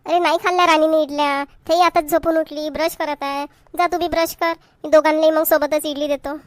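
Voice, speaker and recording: A woman's voice: sits high, little pitch movement, brisk, no echo, close to an everyday voice. A woman voicing a caring, fussing mother in a homemade comedy cartoon, with a homely Varhadi Marathi accent from Vidarbha. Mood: explaining. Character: Indian mother, fussing over her children, caring.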